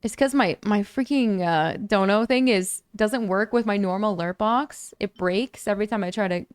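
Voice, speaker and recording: A young woman streaming games, chatting casually with viewers and breaking into excited thanks for donations, her voice a little worn from talking for hours. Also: normal speed, clear, resonant tone, dry recording, clean studio sound. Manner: chatty, bursts into high excited squeals thanking donors. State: voice a bit lower and worn from long talking. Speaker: gaming livestreamer. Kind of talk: casual livestream chat to viewers.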